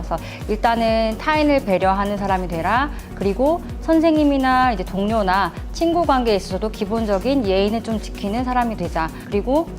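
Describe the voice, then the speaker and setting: A woman in a testimonial interview talks at a brisk clip in a middle-pitched voice. She explains her work as a tutor earnestly, over clearly audible background music. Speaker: tutor at an education company.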